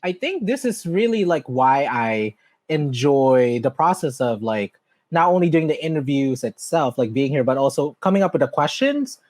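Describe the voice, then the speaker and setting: A man's voice: big pitch swings, normal speed, a little mellow, no echo. A man hosting a podcast interview in a bubbly, chatty way, laughing often and correcting himself as he goes. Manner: bubbly, laughs often, swings up high in pitch. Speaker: podcast host. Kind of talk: casual interview chat.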